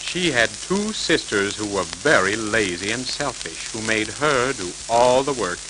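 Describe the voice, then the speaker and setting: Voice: mid-range voice, expressive, unhurried. A storyteller reading scripted fairy tales to children on a crackly 1940s record.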